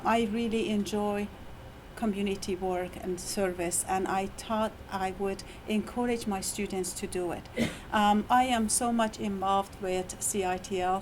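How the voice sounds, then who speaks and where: A woman's voice: medium pitch, slight background noise, low-quality recording. A middle-aged woman with an Iranian accent, giving a faculty talk as a university lecturer, hesitant with many fillers and restarts, her voice tired after teaching. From Iran. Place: university meeting room.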